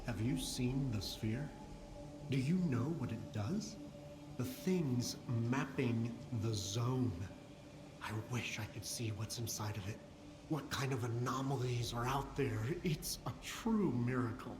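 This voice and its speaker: A man's voice: medium pitch, slow pace, reverberant, over music, lightly acted. An actor playing a wry, chatty male technician in a post-apocalyptic video game. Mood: curious.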